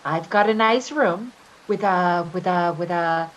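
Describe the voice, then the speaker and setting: A woman playing an evasive, manipulative caregiver in a readers theater drama, halting and repeating her words. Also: fairly low pitch, highs cut off, natural voice. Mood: coaxing, nervous. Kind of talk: readers theater stage acting.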